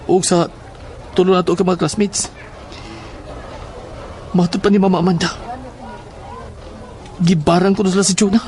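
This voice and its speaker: A man's voice: high-pitched, little pitch movement, moderate pace, pauses often, a little mellow, soft background music, close to an everyday voice. A man with a Cebuano accent playing a troubled schoolboy who confides in horror audio drama dialogue. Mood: troubled, confiding.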